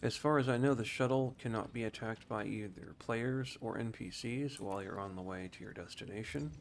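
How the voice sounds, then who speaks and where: A man streaming a video game, explaining things in a casual, rambling, unhurried way. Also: on the low side, over music. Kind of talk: casual gameplay commentary. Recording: faint background noise.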